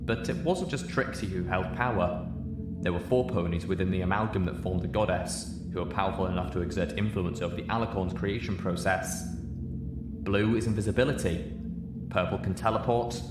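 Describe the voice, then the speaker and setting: A man with a very low voice narrates scripted fiction. The room echoes around him, and there is clear background noise on the recording. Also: steady tone, normal speed. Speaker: narrator, audiobook reader.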